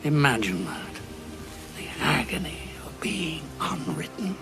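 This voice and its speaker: Gravelly, war-weary Time Lord. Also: male voice, fairly high pitch, big pitch swings, pushed and bright, full character voice. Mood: grim, haunted. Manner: dramatic, deliberate, dryly sardonic. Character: war-weary male Time Lord, grim, guilt-ridden, sardonic. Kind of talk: audio drama acting. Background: background music.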